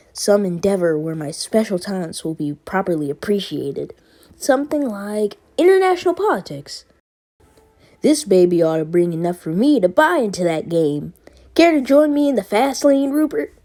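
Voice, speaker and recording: A woman's voice: mid-range voice, dark tone, pitched above the performer's own voice, lightly acted. A woman voicing a gleeful, scheming male crime boss with a chummy, theatrical, grandiose way of talking in a comic book read-along.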